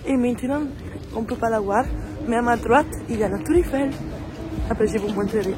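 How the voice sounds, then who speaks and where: A woman's voice: on the high side, a little fast, crowd noise. A young woman in her twenties from Italy, speaking with an Italian accent and chatting casually as a vlogger in a language that is not her first, with many fillers. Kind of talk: casual vlog chat.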